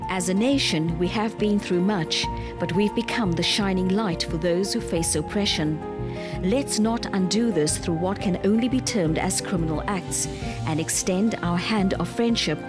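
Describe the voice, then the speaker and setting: A woman's voice: medium pitch, normal speed, over music. A woman reading scripted narration for a TV magazine show.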